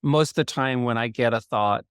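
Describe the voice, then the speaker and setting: A man who speaks English co-hosts a podcast interview. His voice sits at a middle pitch. He talks in a reflective, loosely phrased way with many fillers, and the recording is dry and close. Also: normal speed, smooth, no echo. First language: Danish. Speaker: podcast co-host. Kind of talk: conversational interview.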